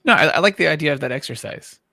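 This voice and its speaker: A man's voice: a little high, animated, quick pace, vocal fry, pushed and bright, no room sound, clean studio sound. A man co-hosting an art livestream, chatting casually and conversationally as a fellow artist.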